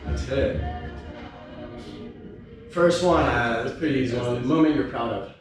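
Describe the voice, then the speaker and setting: A man's voice: medium pitch, normal speed, distant mic. A young man hosting a casual chat, laid back and joking, with lots of fillers. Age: young adult. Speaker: host. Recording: echoey room from afar with music.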